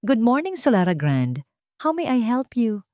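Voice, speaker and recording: A woman's voice: fairly high pitch, very animated, measured pace, a little mellow, no room sound, clean studio sound, voice pushed up. A woman with a Filipino accent voicing a polite hotel receptionist in a story, courteous and formal.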